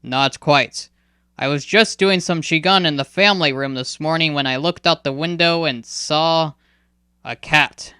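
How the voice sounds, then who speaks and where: A woman voicing a calm, serious man in robes who speaks earnestly and urgently. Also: sits low, a little slow, clear, resonant tone, no echo, clean studio sound.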